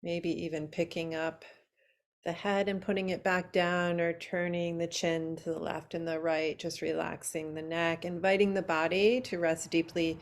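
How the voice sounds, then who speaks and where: A woman teaching yoga nidra, guiding a relaxation meditation in a soothing, unhurried way with long pauses between short phrases. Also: lowish voice, level tone.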